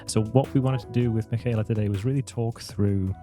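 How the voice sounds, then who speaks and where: A man's voice: on the low side, fairly even intonation, a little fast, warm tone, soft background music. A man hosting a podcast, joking around and rambling with frequent restarts. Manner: jokey, rambling, restarts a lot. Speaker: podcast host. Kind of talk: casual interview.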